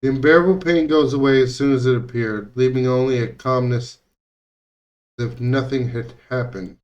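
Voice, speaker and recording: A man's voice: mid-range voice, steady tone, normal speed, a little mellow, recorded from a distance, highs cut off. A man reading visual novel text aloud as dramatic narration, now and then stumbling over words and rereading them. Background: music.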